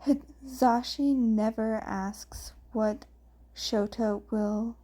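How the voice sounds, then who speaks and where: A woman reading fan fiction aloud as story narration, sometimes halting over her words. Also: a little high, steady tone, warm tone. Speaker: fan fiction podfic reader.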